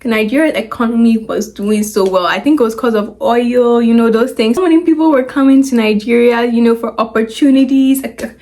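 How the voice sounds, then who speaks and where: A young woman with a Ghanaian accent talks straight to her viewers in an easy, chatty explainer. Her voice sits a touch high, and she slips into little acted voices when she quotes people. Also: a little fast, a little mellow. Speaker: video commentator. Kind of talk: casual explainer commentary.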